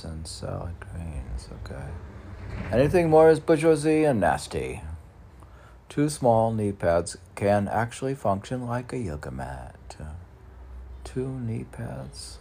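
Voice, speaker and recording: A middle-aged man reads his own poems in a very low, creaky voice. He takes his time, declaiming lines and then muttering asides to himself, with faint noise in the recording. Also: dark tone. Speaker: poet. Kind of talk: reading own poetry with off-the-cuff asides.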